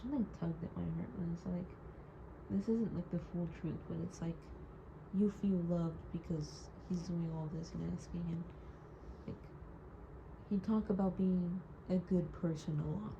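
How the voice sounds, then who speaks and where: A woman's voice: on the low side, fairly even intonation, moderate pace. Rambling young woman with lo-fi hiss. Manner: rambling, hesitant, heavy use of "like"; hurt and wry, holding back tears. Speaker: audio diarist. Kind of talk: personal audio diary monologue. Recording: dull lo-fi recording with clear background noise.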